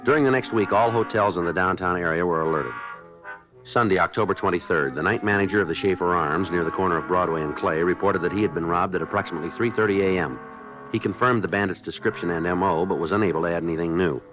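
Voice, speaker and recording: An actor playing a terse, deadpan police sergeant who also narrates the case, in a 1950s radio drama. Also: male voice, low voice, quick pace, music in the background, lightly acted. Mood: narrating. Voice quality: flat, clipped, matter-of-fact delivery. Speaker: detective narrator.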